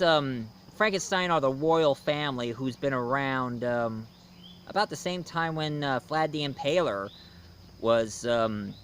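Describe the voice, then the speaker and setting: A man hosting a casual, unscripted review, talking in a halting, rambling storyteller's way. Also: mid-range voice, fairly bright tone. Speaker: host, film and book reviewer. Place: outdoors. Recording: noisy outdoor recording with insects.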